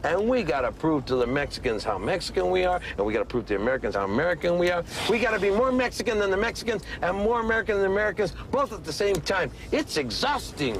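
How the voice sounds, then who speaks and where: Brief: an exasperated middle-aged dad, fast and high-strung, in a noisy film clip with traffic. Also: male voice. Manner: animated, ranting, exasperated. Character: exasperated Mexican American father, middle-aged. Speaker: father in a film scene.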